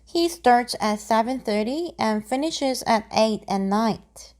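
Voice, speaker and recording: A woman reading simple grammar example sentences aloud in a slow, deliberate way, pausing between each one. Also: fairly high pitch, expressive, darkish tone.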